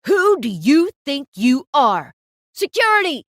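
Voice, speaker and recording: A woman voicing a sneering, shouting male photographer. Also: on the low side, lively intonation, normal speed, dry recording, clean studio sound, voice pushed down, close to an everyday voice. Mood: raging. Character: rude, insulting male fashion photographer.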